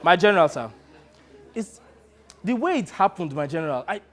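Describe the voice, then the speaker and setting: A man plays an earnest rebel lieutenant addressing his superior in a staged tale. His male voice sits fairly high, and he speaks with solemn, halting deference. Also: sing-song, slight background noise. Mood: troubled, respectful. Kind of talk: dramatised storytelling.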